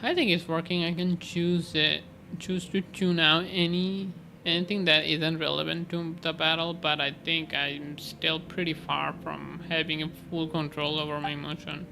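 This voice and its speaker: A woman's voice: low voice, flat delivery, normal speed, gravelly, hardly any pauses, pushed and bright, slight background noise. A woman doing casual gameplay commentary and reading dialogue aloud, reactive and prone to exclaiming. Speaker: gaming streamer. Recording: game music behind the voice.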